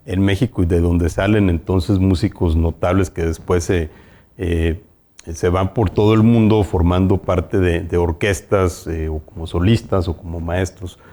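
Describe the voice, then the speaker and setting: A man's voice: deep voice, fairly even intonation, a little slow, dark tone. A man hosting an interview, asking long winding questions with fillers. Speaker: interview host.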